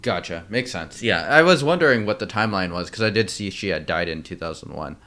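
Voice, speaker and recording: A man chatting casually as co-host of a film podcast. His voice sits fairly low but moves around a lot in pitch. He speaks informally and hesitantly, working out his opinion as he goes. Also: animated, a little fast, sharp tone.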